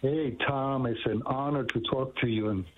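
A man's voice: medium pitch, fairly even intonation, normal speed. A man in his sixties from Puerto Rico, calling in to a radio talk show and telling a long story in an earnest, rambling way with many fillers. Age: 60s. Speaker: caller. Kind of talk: phone-in call. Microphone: phone line. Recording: tinny phone line, husky.

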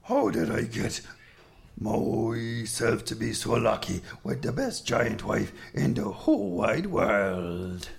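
A man's voice: on the low side, wildly swooping pitch, quick pace, gravelly, hardly any pauses, background noise, voice pushed up, full character voice. A man voicing a fretful, flustered male giant husband in a told folktale. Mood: fond. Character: nervous, fond male Irish giant husband.

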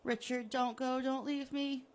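A woman's voice: high voice, level tone, measured pace, pitched above the performer's own voice. A woman voicing a terrified, trapped man who pleads and cries out desperately. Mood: panicked.

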